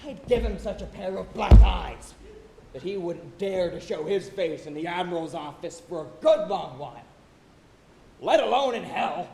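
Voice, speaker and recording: A man on stage playing a boastful, excitable sailor with comic, blustering bravado. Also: high voice, moderate pace, vocal fry. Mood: defiant, blustering. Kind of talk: theatrical stage acting. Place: college theatre stage. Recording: roomy auditorium stage recording, some hiss.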